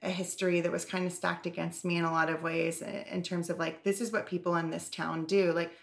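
A woman with a low, gravelly voice and a touch of vocal fry co-hosts a podcast. She rambles candidly, full of "like"s and cheerful swearing, in an easy conversational flow. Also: flat delivery, fairly quick. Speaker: podcast co-host. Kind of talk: casual podcast chat.

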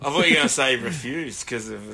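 A man's voice: mid-range voice, fast, a loud crowd. An Australian man co-hosting a cricket podcast with dry, wisecracking remarks. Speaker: podcast co-host. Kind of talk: casual banter.

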